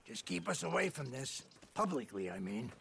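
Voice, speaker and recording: An actor playing a suspicious, bitter older family patriarch in a game, with a very creaky voice and a gruff, contemptuous manner. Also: male voice, medium pitch, big pitch swings, moderate pace, a bit bright, slight background noise, full character voice. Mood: conspiratorial. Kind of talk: dramatic game cutscene acting.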